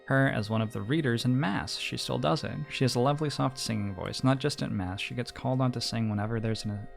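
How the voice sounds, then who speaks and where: A man reading the text of a story game aloud in measured phrases, as a streamer narrating. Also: medium pitch, gravelly, soft background music.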